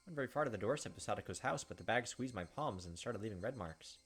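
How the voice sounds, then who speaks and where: A man's voice: on the low side, lively intonation, fast, fairly bright tone. Male gamer reading aloud over music. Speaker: let's play host. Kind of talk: dramatic reading of game text with casual asides. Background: game music.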